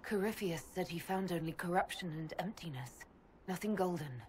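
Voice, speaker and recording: A woman's voice: fairly low pitch, moderate pace, a little hiss or hum, close to an everyday voice. A woman playing a determined young elf hero in a video game, speaking bluntly and with urgency. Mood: urgent, frustrated. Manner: blunt, urgent, forceful wording. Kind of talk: dramatic video game dialogue.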